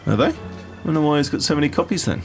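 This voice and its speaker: A man's voice: fairly high pitch, lively intonation, quick pace, faint music behind. Man playing a blustering, bluffing bookshop man. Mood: defensive bluffing. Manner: blustering, chatty, insistent. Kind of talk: comedy radio sketch.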